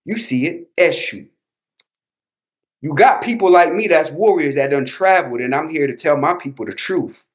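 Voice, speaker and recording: A man's voice: a little high, lively intonation, moderate pace. A man with an American accent giving casual reaction commentary in a combative, emphatic, slangy way, repeating himself for punch. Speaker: host, babalawo commentator.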